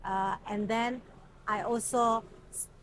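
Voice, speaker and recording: A woman's voice: fairly high pitch, fairly even intonation, slow, noisy background. A young woman with an Indonesian accent, a language specialist who gives plain answers with everyday examples as a guest in an interview. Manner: explains plainly with examples, often adds "right?". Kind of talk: casual interview.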